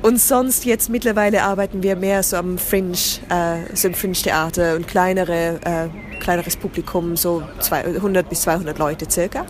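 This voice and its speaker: A woman's voice: on the low side, steady tone, moderate pace, hardly any pauses, a little hiss or hum. German woman searching for words. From southern Germany. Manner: searching for words, many restarts, drops into English. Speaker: guest, theatre company founder. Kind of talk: casual interview.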